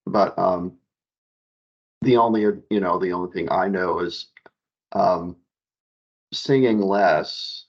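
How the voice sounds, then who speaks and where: A low-pitched man with a gravelly edge speaks in a relaxed, chatty way, full of little fillers. He sounds like an elementary music teacher answering questions as a guest. The audio comes through a dull, lo-fi video call. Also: takes it slowly, pauses often. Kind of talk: casual video call conversation.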